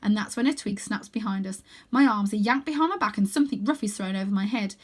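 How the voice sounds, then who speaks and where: A woman reading a children's novel aloud in a dramatic, expressive storytelling style. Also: medium pitch, fast. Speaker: storybook reader.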